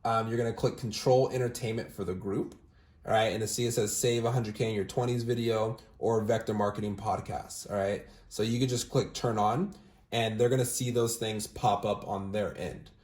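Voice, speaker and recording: A man's voice: on the low side, steady tone, normal speed, a little mellow. A young man recruiting for a sales team, walking viewers through callbacks in a casual, upbeat way full of "all right?" and fillers. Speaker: recruiting manager demonstrating callbacks. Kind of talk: casual screen-share walkthrough, then phone interview. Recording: roomy echo.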